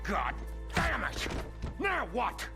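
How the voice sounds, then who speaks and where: A hot-headed outlaw in a western game, blunt and loud. His gravelly voice is pushed very high, with loud music over it. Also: huge pitch swings, extremely slow, heavily acted. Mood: agitated. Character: rough, hot-headed brawny male outlaw. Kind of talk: cinematic video game western acting.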